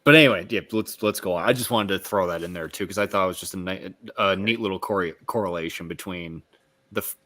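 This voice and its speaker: A man with a fairly low voice co-hosts a casual book discussion. He explains connections analytically, stopping and restarting as he works through each point. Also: fast talker, a bit bright.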